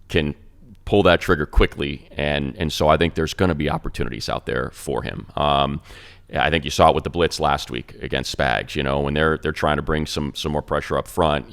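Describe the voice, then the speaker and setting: A man with an extremely deep, fry-laced voice talks football on a casual sports show. He is a radio play-by-play announcer, and he analyzes at length, circling back and restarting his sentences as he builds his points. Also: fairly bright tone. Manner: rambling and analytical, many restarts.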